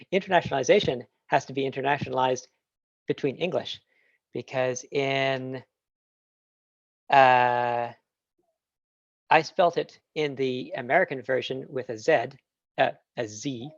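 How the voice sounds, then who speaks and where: A man's voice: mid-range voice, unhurried, creaky, long pauses, no room sound. Canadian man thinking aloud, dry muffled audio. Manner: thinking aloud, hesitant with fillers and laughs. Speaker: tech talk speaker. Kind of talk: casual Q&A answers.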